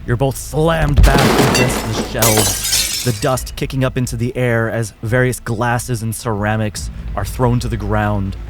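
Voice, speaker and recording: A man acting as game master, narrating combat in a measured, descriptive way. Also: medium pitch, steady tone. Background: music.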